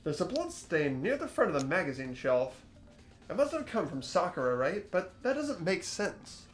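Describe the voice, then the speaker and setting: Someone voicing an earnest, animated teenage boy detective. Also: medium pitch, moderate pace, close to an everyday voice. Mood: puzzled. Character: earnest, puzzled teenage boy student detective in a mystery game. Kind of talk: amateur fan voice acting of a game script.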